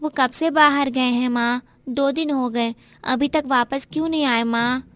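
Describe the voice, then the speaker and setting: A woman's voice: high voice, fairly even intonation, unhurried, a bit bright, no room sound. A woman voicing an innocent little boy in a story, with a childlike, earnest manner. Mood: anxious. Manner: childlike, questioning. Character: anxious innocent little boy, devoted to his mother.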